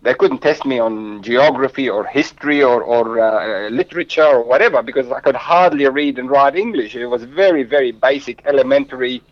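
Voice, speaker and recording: A man's voice: medium pitch, brisk, few pauses, no echo, muffled recording. A man whose first language is Arabic and who grew up in Lebanon, answering interview questions in English with earnest, heartfelt storytelling and frequent restarts. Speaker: guest.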